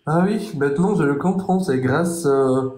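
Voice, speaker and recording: A man's voice: a little high, measured pace, darkish tone, roomy sound. A man hosting a casual live-stream chat, blunt and self-assured. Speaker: TikTok live host.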